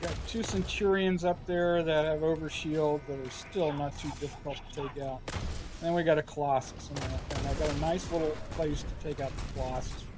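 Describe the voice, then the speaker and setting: A man giving casual commentary over a game walkthrough, his voice a little hoarse and scratchy, thinking aloud with frequent filler pauses. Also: on the high side, steady tone. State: slightly hoarse, scratchy throat. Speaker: gameplay commentator. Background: game music and gunfire.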